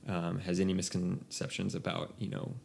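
A man's voice: low voice, monotone, normal speed, creaky, darkish tone, a little hiss or hum. A young man chatting casually about music on a podcast, rambling with many restarts. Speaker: podcast co-host.

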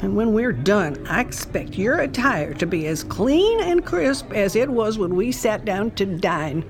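A woman's voice: mid-range voice, big pitch swings, measured pace, music in the background. A woman playing a cruel, haughty old family matriarch in an audio drama, scolding in formal diction. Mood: scolding, contemptuous. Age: older.

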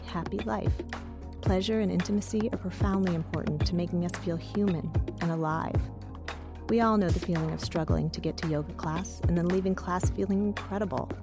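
A woman reading a scripted podcast sponsor ad as one of the show's writers. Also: on the low side. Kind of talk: scripted ad read.

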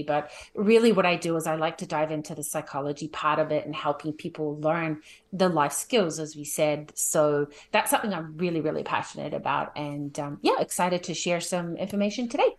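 Lower-pitched New Zealand woman, chatty. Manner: chatty, informal, often ends phrases with "right?". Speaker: podcast guest, home organizer. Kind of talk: casual conversational interview.

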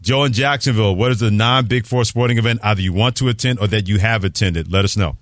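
A man's voice: fairly low pitch, fast talker, a little hiss or hum. A man from Brooklyn hosting a casual sports call-in radio show in a folksy, playful way. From Brooklyn, New York. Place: radio studio. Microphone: close studio microphone.

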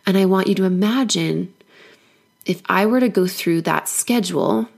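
A woman hoarse from a cold, giving an earnest, encouraging solo podcast talk as a life coach. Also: mid-range voice, normal speed, darkish tone.

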